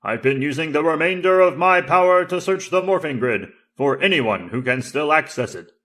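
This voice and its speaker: A man voicing an ancient enchanted tiger saber in an audio drama, formal, flattering and theatrical. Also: a little high, normal speed, pitched above the performer's own voice. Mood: proud, gracious. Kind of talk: audio drama character acting.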